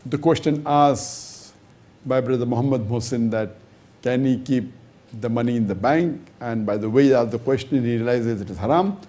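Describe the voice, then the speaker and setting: A man's voice: mid-range voice, moderate pace, mellow. A man, an Islamic scholar, answering an audience question in a lecture, reasoning step by step with emphatic stresses and rhetorical questions.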